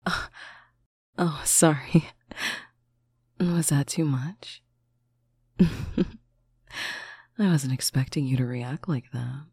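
A speaker in a slow, intimate roleplay, talking softly to a faraway partner on a bedtime call, often dropping into a whisper. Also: medium pitch, slightly rough, no room sound, studio quality. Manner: soft, affectionate, often whispered. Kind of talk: intimate roleplay monologue to the listener.

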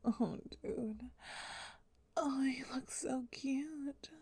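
A young woman performing an intimate audio roleplay in a soft, breathy whisper close to the mic. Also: sits high, extremely slow, no echo.

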